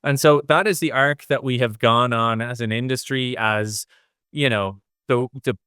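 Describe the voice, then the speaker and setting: Middle-aged male interview guest, clear resonant voice, casual and discursive, closely recorded with no room sound. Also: mid-range voice, fairly even intonation, normal speed, pushed and bright, dry recording, clean studio sound. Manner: discursive, thinks aloud in long hedged explanations. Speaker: interview guest, design executive.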